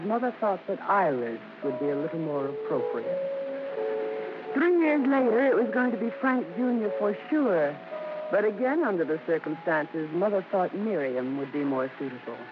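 An actress playing a grown daughter who narrates her family's story with measured, wry pauses, in scripted old-time radio drama style. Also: fairly low pitch, very animated, music in the background. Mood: wry reminiscing. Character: grown daughter Mikey recalling her show-business family. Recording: muffled, very noisy old radio recording.

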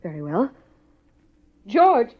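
An actress playing an anxious, scheming wife in a 1950s radio crime drama. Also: female voice, a little high, sing-song, slow pace, a little hiss or hum. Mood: guarded. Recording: dull, lo-fi 1950s radio recording.

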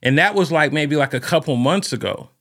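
A man's voice: on the high side, brisk, a bit bright. A man from Ohio hosting a casual interview, talking loosely with lots of fillers. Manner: loose and rambling, many fillers. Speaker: podcast host.

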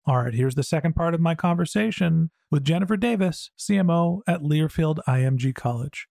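A man's voice: a little high, fairly quick, gravelly, mellow, dry recording, studio quality. A middle-aged man hosting a podcast interview in a chatty, enthusiastic, conversational way. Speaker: podcast host.

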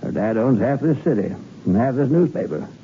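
An actor playing a cynical, worldly crime editor, slangy and confiding, in a 1960s radio drama. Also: male voice, medium pitch, lively intonation, fairly quick, natural voice. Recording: dull, faintly noisy radio recording.

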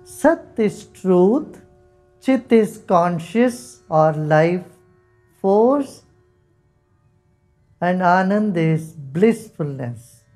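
Man guiding a meditation real slow, mellow low voice, gentle pauses, faint music under it. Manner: slow, soft, calm instructions with long pauses. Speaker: meditation guide. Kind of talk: guided meditation. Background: soft instrumental music.

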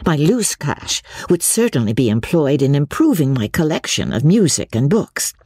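A woman's voice: deep voice, big pitch swings, moderate pace, mellow, husky, no room sound, clean studio sound, voice pushed up, exaggerated voice. A woman voicing an ardent, idealistic young woman in a story, speaking emphatically and decidedly. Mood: firm, nostalgic. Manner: emphatic, exclamatory.

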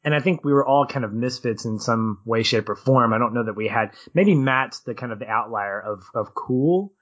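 A man from Arkansas who dropped his Southern accent talks in a casual film discussion on a podcast. He is animated, laughs easily and often restarts his sentences. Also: medium pitch, moderate pace, highs cut off.

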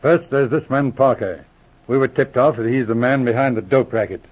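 An actor playing a calm, methodical senior police inspector in 1940s radio drama, explaining things with measured authority. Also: male voice, mid-range voice, close to an everyday voice. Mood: methodical, confiding. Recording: dull, muffled old radio recording.

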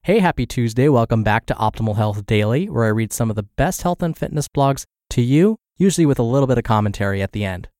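A man's voice: mid-range voice, animated, fast talker, hardly any pauses, a little mellow, dry recording, studio quality. A man hosting a podcast and reading a health blog aloud, sounding congested and scratchy from the flu. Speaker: podcast host and narrator.

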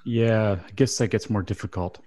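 A man's voice: lowish voice, steady tone, moderate pace, mellow, dry recording. A Canadian man hosting a radio show, chatting casually and laughing often. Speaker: podcast host.

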